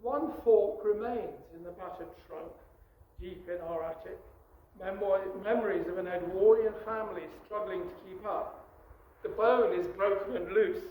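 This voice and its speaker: A man in his sixties with a posh English accent, reading his poetry outdoors in an animated, storytelling way. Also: sits high, moderate pace, reverberant, a little hiss or hum, low-quality recording. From East Africa. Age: 60s. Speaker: poet. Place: outdoors outside a cafe.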